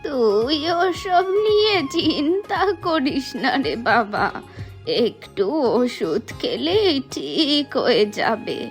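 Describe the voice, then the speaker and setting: Cartoon casting: coaxing older village mother, played by a woman, extremely slow and drawn out, high-pitched, faint music underneath. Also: sing-song, exaggerated voice. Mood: soothing. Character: doting older village mother of grown landlord son. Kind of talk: Bengali cartoon folk-tale dubbing.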